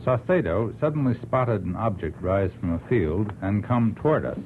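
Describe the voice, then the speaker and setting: A man speaking as a panel guest on a radio talk show, earnest, with deliberate and hesitant phrasing. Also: lowish voice, expressive. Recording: thin, narrow old radio recording, slight noise.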